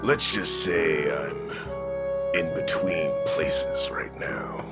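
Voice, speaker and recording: An actor playing a cryptic, brooding cloaked stranger in a comedy horror radio play. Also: male voice, very deep voice, drawn-out words, heavily acted. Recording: loud guitar music behind the voice.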